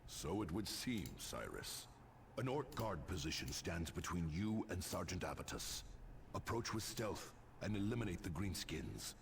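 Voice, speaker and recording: An actor playing a stern space marine commander, authoritative and formal, in a sci-fi military game briefing. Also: male voice, deep voice, big pitch swings, measured pace, gravelly, recorded from a distance, a little hiss or hum, heavily acted. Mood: grave, commanding.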